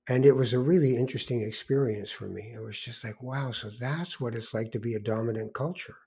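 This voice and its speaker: A man's voice: medium pitch, brisk, warm tone, husky. An older man with a Canadian accent hosting a podcast in a casual, conversational way, with reflective, rambling storytelling. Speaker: podcast host.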